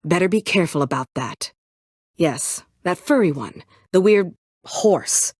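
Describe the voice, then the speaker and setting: A woman's voice: fairly low pitch, expressive, a little slow, a little mellow, husky, no room sound, studio quality. An actress playing a bitter, world-weary woman survivor set on vengeance in a story video game, brooding, curt and dryly sardonic. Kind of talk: dramatic video game voice acting.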